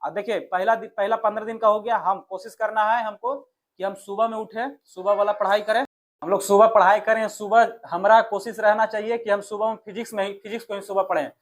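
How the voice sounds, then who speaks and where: High-pitched man with a Bihar accent. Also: fairly even intonation, brisk. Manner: addresses listeners familiarly, asks and answers own questions. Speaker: exam coach. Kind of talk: informal exam strategy lesson.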